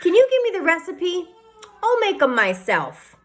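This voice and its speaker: A woman's voice: extremely high pitch, wildly swooping pitch, moderate pace, slight background noise, voice pushed up, full character voice. A woman doing an eager young boy.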